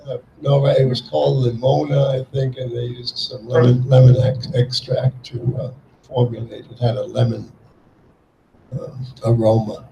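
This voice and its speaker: An older man giving a talk to an audience, speaking haltingly with many fillers. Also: mid-range voice, little pitch movement, gravelly, mellow, lo-fi sound, slight background noise. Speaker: guest speaker, child refugee. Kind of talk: public talk with slides. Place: lecture hall before an audience. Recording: echoey hall.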